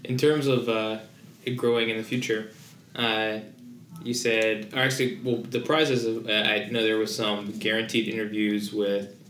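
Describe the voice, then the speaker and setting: A man's voice: lowish voice, flat delivery, unhurried, fairly bright tone, roomy sound, slight background noise. A man co-hosting a casual podcast, talking hesitantly with many fillers.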